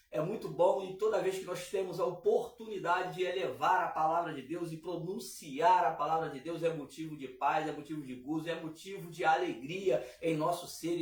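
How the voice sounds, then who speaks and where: Hoarse man hosting gospel radio. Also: a little high. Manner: warm preacherly, many fillers and repeats. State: hoarse from a cold. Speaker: radio show host. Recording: echoey room, distant mic.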